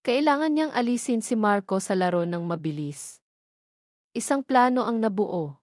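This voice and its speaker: A woman's voice: medium pitch, animated, normal speed, a little mellow, no echo. Woman narrating a story, dry close sound. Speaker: audio drama narrator.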